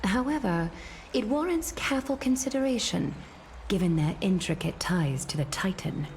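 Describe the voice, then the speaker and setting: A woman playing a solemn, regal leader, speaking in a measured, formal, composed way in scripted fantasy game dialogue. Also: medium pitch, expressive, slight background noise, lightly acted.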